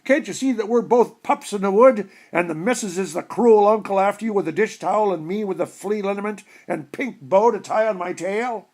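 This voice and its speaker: A man's voice: high voice, fairly quick, hardly any pauses, a little mellow, voice pushed up. A man voicing a wisecracking, streetwise pet dog in a storybook, with colorful slangy talk. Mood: pleading, scolding. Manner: slangy, colorful insults and pleading.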